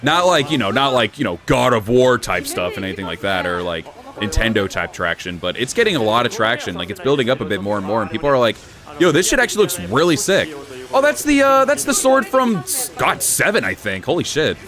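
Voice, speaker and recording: A young man streaming, chatting casually in an even, low-key way with sudden bursts of excitement. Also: a little fast. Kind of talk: casual live-stream reaction chat.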